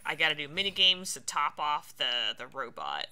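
A woman's voice: deep voice, sing-song, normal speed, sharp tone, faint music behind. A woman doing casual Let's Play commentary, chatting and thinking aloud as she plays. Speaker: streamer playing a video game.

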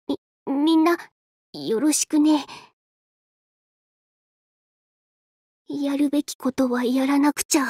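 A woman's voice: high voice, warm tone, no room sound, studio quality. A woman voicing a shy, self-effacing young android fighter in an anime game, hesitant and earnest, with a tendency to stammer. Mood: earnest, focused. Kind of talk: Japanese anime game voice lines.

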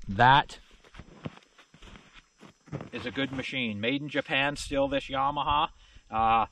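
A man's voice: medium pitch, a little hiss or hum. A middle-aged man, rambling casually as he shows off snowmobiles outdoors in the snow, with lots of "you know" and "uh". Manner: folksy, plain-spoken, lots of fillers like "you know" and "uh". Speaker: channel host showing snowmobiles. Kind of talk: casual rambling walkaround talk. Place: outdoors in a snowy yard.

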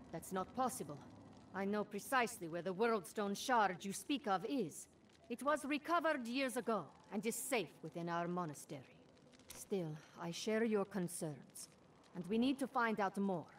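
A woman's voice: medium pitch, animated, a little slow, a little hiss or hum, natural voice. An actress playing an earnest, devout female warrior monk in a fantasy video game, speaking formally. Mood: troubled. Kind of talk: dramatic scripted video game dialogue. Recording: loud game music behind the voice.

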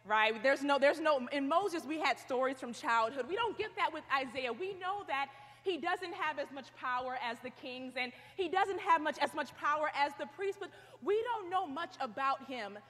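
A woman's voice: sits high, sharp tone. A young woman preaching a sermon, telling stories animatedly and acting out what she says. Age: young adult. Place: large stone chapel. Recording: long hall reverb.

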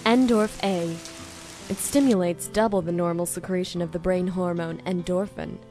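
A woman's voice: on the low side, fairly even intonation, normal speed, mellow, faint music behind, natural voice. A woman playing a calculating, self-assured female scientist villain in an anime dub, with composed, coaxing delivery. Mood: proud. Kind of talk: dramatic anime dub acting.

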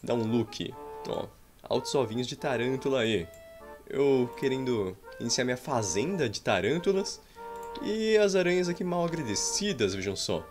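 A man's voice: medium pitch, moderate pace. Playful Brazilian man rambling over gameplay. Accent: Brazilian Portuguese. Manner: playful, rambling, jokey, sudden excited outbursts. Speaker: gameplay streamer. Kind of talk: casual gameplay commentary with roleplay. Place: indoor room at home. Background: faint game music.

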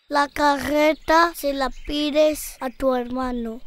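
A woman voicing a sweet, sensible young peasant daughter in an animated folk tale, speaking gently. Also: high voice, slow pace. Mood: gentle, practical.

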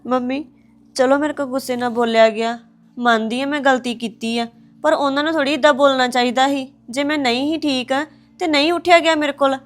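Hurt daughter-in-law played by an actress, high-pitched, pleading tone. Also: female voice, steady tone, fairly quick, close to an everyday voice. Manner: pleading, defensive, tearful. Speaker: daughter-in-law in a family drama. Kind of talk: domestic drama acting.

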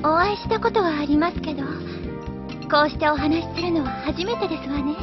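A woman's voice: high voice, fairly quick, lightly acted. A woman playing a serene, formal young noble lady in an anime audio drama, with genteel, very polite phrasing. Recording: dull lo-fi audio. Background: music.

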